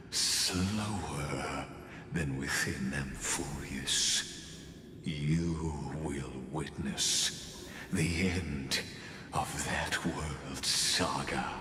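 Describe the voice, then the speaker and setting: An actor playing a cold, scholarly scheming villain in a game, speaking formally and deliberately with polite menace and condescension. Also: male voice, extremely low pitch, full character voice. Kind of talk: theatrical game dialogue.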